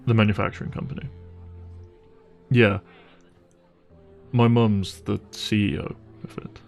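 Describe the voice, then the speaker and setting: A man playing a wealthy, caring young boyfriend in an intimate audio roleplay, speaking in a gentle, hesitant and reassuring way. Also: lowish voice, vocal fry. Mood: apologetic, hesitant.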